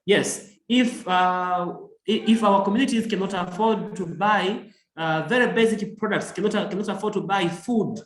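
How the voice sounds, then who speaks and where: A man with a Tanzanian accent speaks up in an online discussion. His voice is slightly high and noticeably roomy with echo, and he talks haltingly, searching for words. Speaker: environmental organization worker, audience participant. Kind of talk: spontaneous discussion contribution.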